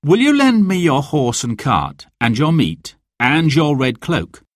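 A man's voice: on the high side, very animated, unhurried, no echo, studio quality, pitched above the performer's own voice. A man voicing a bold young outlaw hero who speaks in a commanding, upbeat way.

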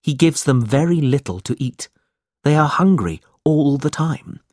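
A man's voice: on the high side, animated, measured pace, a little mellow, no room sound, clean studio sound, pitched above the performer's own voice. A man voicing a brave, protective young man in a storybook, speaking earnestly and with defiance. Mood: indignant.

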